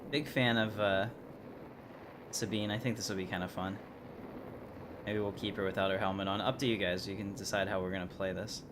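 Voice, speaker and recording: Male game streamer, deep voice, casual live commentary, clear background noise. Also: fairly even intonation, brisk, long pauses. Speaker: game livestreamer. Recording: noisy game audio behind voice.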